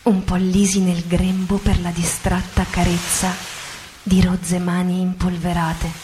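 A woman narrator giving a dramatic literary reading in radio theatre, with measured, deliberate phrasing. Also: on the low side, flat delivery, mellow, slight background noise. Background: music.

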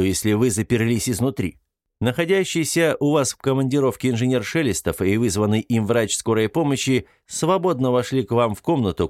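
A man voicing a female investigator in an audiobook, questioning firmly yet sympathetically in a calm way. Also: mid-range voice, dry recording, clean studio sound, natural voice.

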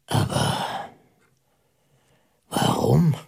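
An actor playing a pondering priest detective in a German radio play, methodical and intense, musing aloud with halting emphasis. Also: male voice, darkish tone. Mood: brooding, deliberate. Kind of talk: German radio play dialogue, deliberate monologue.

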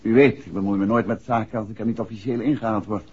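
A man acting a calm, caring private detective in a 1960s radio drama, asking measured questions and reassuring. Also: lowish voice, slightly rough. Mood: businesslike. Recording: muffled old 1960s radio recording.

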